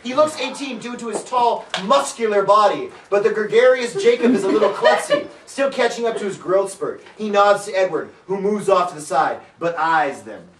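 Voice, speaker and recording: A high-voiced man narrates a script as an amateur dramatic reading. His delivery swings widely and is jokey, with stumbles and asides. He sounds distant from the microphone in a roomy space. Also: lively intonation, normal speed, hardly any pauses. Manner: jokey, stumbles and breaks off to comment. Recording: roomy, far from the mic, people around. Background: other readers chattering and laughing.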